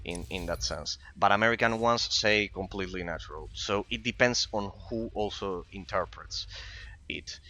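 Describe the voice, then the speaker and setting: Man, European accent, non-native English, bright forceful tone, rambling, repeats himself, gameplay commentary. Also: on the low side, moderate pace. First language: not English. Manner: rambling, with frequent repeated words. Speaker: gaming streamer and English teacher. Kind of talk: casual commentary over gameplay.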